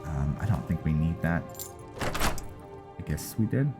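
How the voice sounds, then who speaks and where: A man giving casual gameplay commentary in a halting, muttering way to himself. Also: a bass voice, expressive. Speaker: gaming video host. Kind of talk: casual gameplay commentary and roleplay, reading in-game text aloud. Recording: loud music behind the voice. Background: loud game music.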